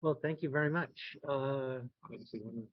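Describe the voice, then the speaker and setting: A soft-spoken man from San Antonio, a federal agency director, giving a keynote full of personal stories in a folksy, halting way. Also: mid-range voice, no room sound. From San Antonio, Texas. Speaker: guest speaker, federal statistics agency director. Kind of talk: storytelling keynote. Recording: dull lo-fi sound.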